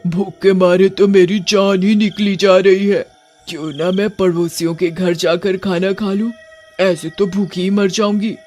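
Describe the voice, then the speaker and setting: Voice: medium pitch, flat delivery, unhurried, darkish tone, soft background music, lightly acted. A performer voicing a hungry female witch in a cartoon story who mutters greedily to herself. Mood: desperate.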